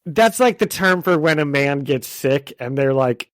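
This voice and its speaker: A man's voice: fairly high pitch, animated, unhurried, fairly bright tone, dry recording. A man co-hosting a podcast in casual chat, getting over a cold with a slight cough, chatty and laughing often. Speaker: podcast co-host.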